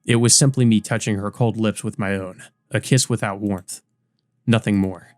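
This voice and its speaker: A man's voice: lowish voice, fairly even intonation, moderate pace, darkish tone, no room sound. A man reading a visual novel aloud as dramatic scripted narration. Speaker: let's play narrator.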